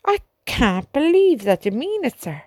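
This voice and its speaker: A woman's voice: high-pitched, very animated, unhurried, a little mellow, no room sound, clean studio sound, pitched above the performer's own voice. A woman reading aloud, voicing an indignant male army sergeant who pleads for his horses. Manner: pleading, rising to outrage.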